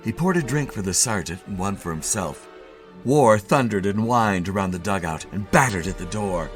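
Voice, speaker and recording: Brief: male narrator, dramatic literary reading, low pitch with lively swings, theatrical. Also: moderate pace, soft background music. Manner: expressive, theatrical. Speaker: story narrator.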